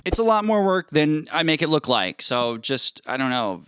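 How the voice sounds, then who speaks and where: Animated, bright-voiced man presenting a video. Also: fairly high pitch, moderate pace, clear, resonant tone, no echo, clean studio sound. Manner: animated, jokey, self-deprecating. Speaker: YouTube host, game sound designer. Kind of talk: scripted video narration with casual asides. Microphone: handheld microphone held near the mouth. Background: faint music.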